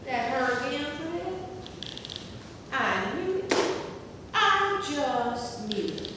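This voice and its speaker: A woman's voice: high-pitched, animated, extremely slow, heavily acted. A woman acting as a chatty, scatterbrained, suspicious older wife in a stage comedy, theatrical and rambling. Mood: suspicious, indignant. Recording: very echoey theatre hall, distant, faint noise.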